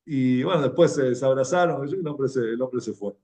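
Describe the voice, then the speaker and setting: A man with an Argentine Spanish accent giving an informal religious talk, telling anecdotes in a halting, conversational way and repeating words as he goes. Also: medium pitch, dark tone. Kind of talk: informal sermon, anecdotal storytelling.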